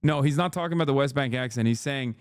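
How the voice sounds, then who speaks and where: Sarcastic streamer man, smooth dry voice. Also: medium pitch, fast, no echo. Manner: sarcastic, profane, reads text aloud then mocks it. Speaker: streamer reacting to news. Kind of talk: casual live-stream commentary.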